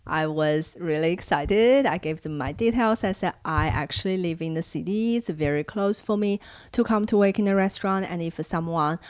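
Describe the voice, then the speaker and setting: Lowish-voiced young woman vlogger telling her story. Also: moderate pace, clear, resonant tone, no room sound. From China. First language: Chinese. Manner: animated when acting out past conversations. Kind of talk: casual storytelling to camera.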